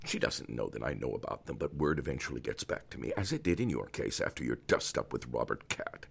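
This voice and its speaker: Man voicing older tycoon, audio drama, cold threats, gravelly, very deep, lively pitch. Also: brisk, heavily acted. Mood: cold menace. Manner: threatening, swings from hushed to loud. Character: cold, menacing older man, wealthy and controlling. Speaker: Doctor Barrows, wealthy older power broker.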